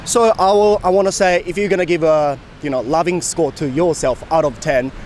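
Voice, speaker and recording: A man from Japan runs a casual street interview, friendly and talkative. His voice sits slightly high. Light traffic sounds drift behind him outdoors. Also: darkish tone. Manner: friendly, chatty, quick questions. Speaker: street interviewer, channel host.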